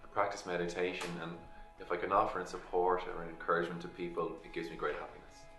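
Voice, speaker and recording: Very low-voiced young man. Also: level tone, quick pace, fairly bright tone, faint music behind. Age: 20s. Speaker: employee sharing his story. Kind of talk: scripted testimonial. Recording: roomy video recording.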